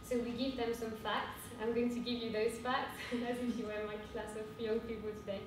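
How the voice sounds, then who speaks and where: A young woman with a French accent giving an earnest conference talk, pausing often with fillers. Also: little pitch movement. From France. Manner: earnest, hesitant with frequent fillers. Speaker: cooperative speaker. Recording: echoey hall from far off with rumble.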